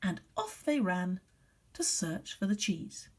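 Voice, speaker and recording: A woman telling a story to young children like a primary teacher, expressive and deliberate, sometimes hushed. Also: lowish voice, husky.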